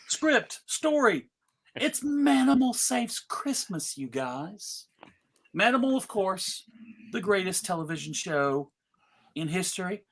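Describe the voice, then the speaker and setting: Slow-paced, playful panel host. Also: mid-range voice, fairly bright tone. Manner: jokey mock-showman announcing, with addresses to the audience. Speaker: host of a live table read panel. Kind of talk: casual live chat introducing scripts.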